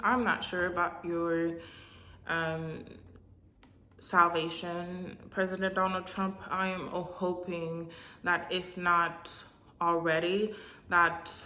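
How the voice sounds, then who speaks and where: Woman from Hispaniola, Haitian Creole native speaker; lowish voice, slow and halting delivery, echoey room. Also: sharp tone. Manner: halting, many pauses and filler sounds. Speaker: religious vlogger. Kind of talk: direct-to-camera prophetic message.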